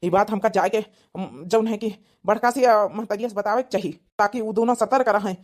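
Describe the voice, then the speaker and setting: A woman's voice: mid-range voice, moderate pace, a little mellow, lightly acted. A woman voicing a suspicious, worried young village husband with an Awadhi accent, muttering and exclaiming in a comedy cartoon. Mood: shocked, worried.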